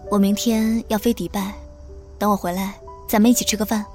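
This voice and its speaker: A woman's voice: medium pitch, level tone, a little fast, darkish tone, faint music behind, lightly acted. A woman playing a composed, mature young mother in an audio drama, reserved and a little strict. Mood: polite. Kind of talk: modern audio drama acting.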